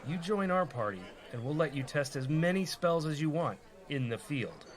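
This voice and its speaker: A man's voice: on the high side, lively intonation, normal speed, lightly acted. A man playing a young rogue and reluctant party leader in a comedy radio play, casual and persuasive. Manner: casual, bargaining.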